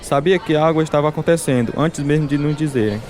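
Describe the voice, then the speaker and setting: A man's voice: on the high side, fast, darkish tone, natural voice. A man with a Brazilian accent playing a devout, serene older Russian pastor and father freed from prison, in a radio drama. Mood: relieved, reflective.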